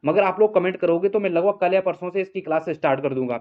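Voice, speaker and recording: A man's voice: high voice, fast talker. A man speaks like a coaching teacher briefing students. He explains informally, circles back over his points and keeps checking in. The recording is dull and lo-fi. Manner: repetitive, explanatory, frequent check-in tags. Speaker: exam coaching teacher and channel host. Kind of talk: informal exam update addressed to students.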